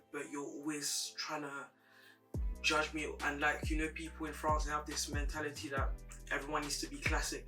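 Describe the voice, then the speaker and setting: A young woman with a deep voice, a guest speaking candidly and reflectively in an interview. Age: young adult. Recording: distant mic with music playing behind.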